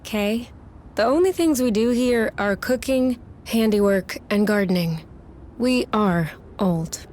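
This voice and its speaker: A woman's voice: medium pitch, slow pace, a little mellow, a little hiss or hum, natural voice. A woman playing a playful, teasing young woman in casual video game dialogue. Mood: mock grumbling. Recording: music behind the voice.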